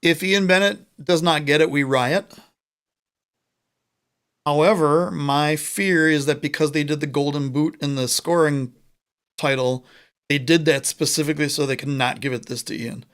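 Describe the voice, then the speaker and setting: A man's voice: fairly high pitch, steady tone, fairly bright tone, clean tone. Chatty American man co-hosting sports talk. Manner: chatty, joking, quick asides. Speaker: podcast co-host. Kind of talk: casual sports chat.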